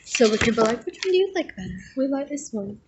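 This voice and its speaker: Woman, student, fairly high voice, casual chat, noisy background. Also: big pitch swings, fast talker. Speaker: student planning a radio drama. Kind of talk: casual group discussion. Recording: clearly noisy room recording.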